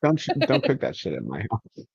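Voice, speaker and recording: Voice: medium pitch, wildly swooping pitch, brisk, gravelly, breathy edge, dry recording, lo-fi sound. A host chatting casually and reading out chat on a livestream, with a joking, sweary way of talking.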